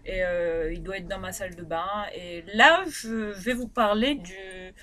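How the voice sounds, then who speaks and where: A woman's voice: mid-range voice, unhurried, sharp tone, a little hiss or hum. Chatty woman with a Réunion Creole accent. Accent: Réunion Creole French. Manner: chatty, rambling, many fillers and restarts. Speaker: beauty vlogger. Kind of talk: casual product review. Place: her bedroom.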